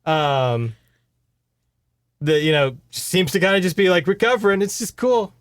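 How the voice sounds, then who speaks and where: Man, smooth clear voice, dry close recording, casual podcast co-host, wry humor. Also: high voice, expressive, unhurried, no room sound. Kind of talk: casual chat, recounting a book's plot.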